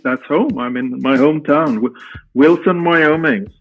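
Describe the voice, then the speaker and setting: A man's voice: on the high side, soft background music. Middle-aged Swedish man on a tinny line. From Sweden. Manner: unhurried, halting with many restarts and fillers. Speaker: guest, company founder. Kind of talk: casual interview answers. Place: home room at night. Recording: tinny phone-like call line.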